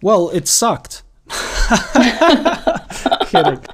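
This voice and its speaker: A man in his forties from Massachusetts, a life coach talking as a podcast guest, joking and halting with many fillers. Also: high-pitched, animated. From Massachusetts, USA. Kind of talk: casual interview talk.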